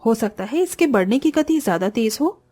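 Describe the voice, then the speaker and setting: A woman voicing a poor, earnest young orphan sister in an expressive style for a cartoon moral story. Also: a little high, fairly quick. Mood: amazed.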